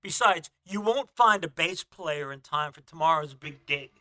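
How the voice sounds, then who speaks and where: A man's voice: on the high side, animated, normal speed, sharp tone. A man playing a blunt, slangy, exasperated young band member in a motion comic.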